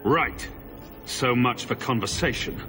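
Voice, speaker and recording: A man's voice: medium pitch, slow, pushed and bright, big-room sound, faint music behind. A man voicing a sarcastic young mage hero in a video game, with a dry manner. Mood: dry, defiant.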